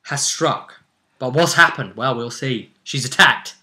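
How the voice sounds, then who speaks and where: A man retelling a tabletop roleplay session as game master, in animated, emphatic conversational storytelling. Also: medium pitch, a little slow.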